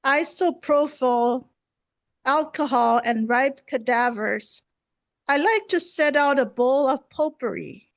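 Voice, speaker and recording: An unflappable homemaking hostess played by a woman gives serene household advice in an amateur comedy. Her voice is high and unhurried, and the sound is a little dull, as on an online call. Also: vocal fry, dry recording, full character voice. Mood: serene, instructive. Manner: composed, instructional. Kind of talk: amateur radio comedy sketch read-through.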